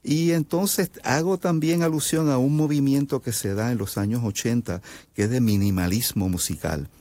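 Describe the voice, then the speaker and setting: A Puerto Rican man, a composer, explaining his music in an interview, halting often with fillers and restarts. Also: medium pitch, mellow, no echo. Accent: Puerto Rican Spanish. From Puerto Rico. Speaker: composer guest. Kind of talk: interview answers.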